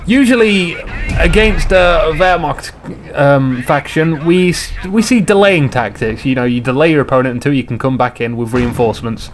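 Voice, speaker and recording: A man casually commentating on a game replay, slightly hoarse, rambling and joking with his viewers. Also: fairly high pitch, normal speed, slight background noise. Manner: rambling, jokey, chats to viewers.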